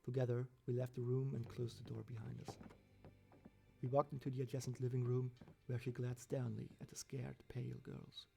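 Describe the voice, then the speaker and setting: A man's voice: mid-range voice, little pitch movement, normal speed, creaky, dark tone. A man reading a scripted first-person horror story as its narrator. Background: background music.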